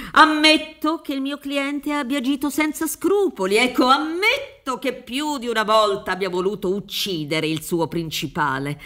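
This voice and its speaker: A pompous female defence advocate in a radio play. The voice is fairly high and very animated, with rhetorical flourishes and theatrical pauses as it pleads. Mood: emphatic, persuasive. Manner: theatrical, rhetorical, halting for emphasis. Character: pompous, wheedling defence lawyer pleading a client's innocence. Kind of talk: radio drama acting. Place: dark theatre stage, reading from script. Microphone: studio microphone with headphones.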